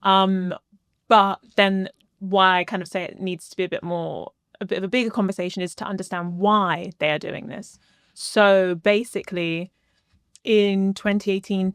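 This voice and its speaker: A young woman, a guest, telling a long story in a casual interview, animated and full of "like" and "um". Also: fairly low pitch, dry recording, clean studio sound. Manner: animated storyteller, many fillers like "like" and "um".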